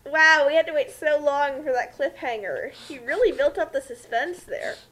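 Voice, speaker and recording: A woman's voice: sits high, moderate pace, a bit bright, rough recording. A woman co-hosting a casual comic commentary in a sarcastic way. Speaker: co-host giving commentary.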